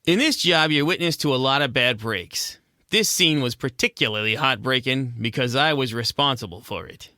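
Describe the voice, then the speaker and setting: A man's voice: medium pitch, normal speed, bright, forceful tone, no room sound, natural voice. A man playing a cynical American penguin private eye, narrating in slangy, world-weary 1940s noir style. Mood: guilty, bitter. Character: hard-boiled penguin detective, cynical and guilt-ridden. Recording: music behind the voice.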